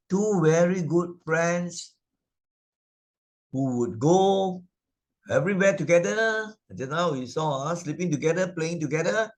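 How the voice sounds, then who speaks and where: A man with a Malaysian English accent, a Sunday school teacher explaining the lessons of a children's story in a chatty way, with many fillers and tag questions. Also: a little high. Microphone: video call presentation mic.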